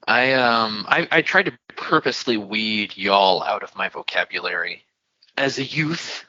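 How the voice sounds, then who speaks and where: A man's voice: on the low side, lively intonation, unhurried, bright, forceful tone, dry recording, muffled recording. A man from Texas co-hosting a podcast in casual chat, with joking, wry asides. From Texas, United States. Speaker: podcast co-host.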